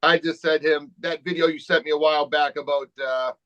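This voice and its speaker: An easygoing man chatting as a podcast guest, unhurried and relaxed. His voice is slightly high and comes through dull and dry, like a lo-fi remote feed. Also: no echo. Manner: laid-back, easygoing. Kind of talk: casual conversation.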